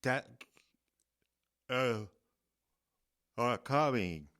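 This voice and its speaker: An actor playing a shy, inarticulate violinist who stammers and barely gets words out, in a radio drama. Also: male voice, mid-range voice, expressive, drawn-out words, fairly bright tone, smooth, no room sound, clean studio sound. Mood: halting.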